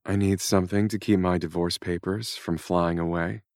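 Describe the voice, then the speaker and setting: A man voicing a deadpan teasing woman. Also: sits low, a little slow, dry recording, studio quality. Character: deadpan sassy divorced woman.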